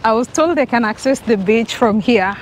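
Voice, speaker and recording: A woman's voice: fairly high pitch, animated, normal speed, background noise. A young Kenyan woman with a Kenyan accent, chatting to an action camera held at arm's length as she walks a sunny residential street. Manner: chatty, enthusiastic, talking to the camera while walking. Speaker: travel vlogger. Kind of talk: walking travel vlog.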